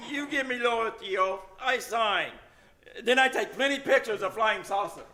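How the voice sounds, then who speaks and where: A man's voice: very high voice, moderate pace, bright, forceful tone. A man playing a brusque Russian tourist with a put-on accent and curt, broken English in a radio comedy. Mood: brusque, insistent. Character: brusque male Russian tourist, suspected spy with moustache. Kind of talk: live old-time radio comedy acting.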